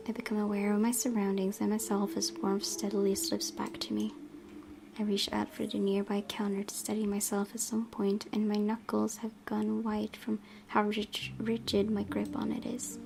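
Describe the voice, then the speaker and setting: A woman gaming host reading horror visual novel narration aloud with dramatic emphasis, often dropping into hushed, breathy tones. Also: medium pitch, little pitch movement, moderate pace. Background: game music.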